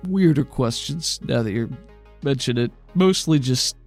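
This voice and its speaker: Man playing a gentle, hesitant father, slightly gravelly. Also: mid-range voice, animated, soft background music. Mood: hesitant, awkward. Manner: halting, soft reassurance with frequent stammers. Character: tall wiry gentle father, reassuring, hesitant, loving.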